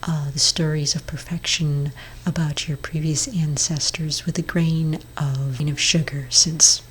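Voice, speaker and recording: Woman acting a low, whispering witch therapist. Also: steady tone, measured pace, full character voice, low-quality recording. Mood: gentle, reassuring. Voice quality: soft, breathy whisper. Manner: gentle, hesitant, reassuring advice. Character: gentle, reassuring witch therapist counselling a client. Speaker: ASMR roleplay performer. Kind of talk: ASMR roleplay, soft-spoken whispering. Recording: clearly audible background noise.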